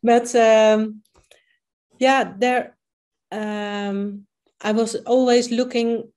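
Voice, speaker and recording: A woman's voice: fairly high pitch, level tone, drawn-out words, darkish tone. A Dutch-accented woman in her fifties speaks on a video call from her home kitchen. English is not her first language, so she halts often and restarts her sentences as she talks things through. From Netherlands. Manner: hesitant, many fillers and restarts. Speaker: coaching client.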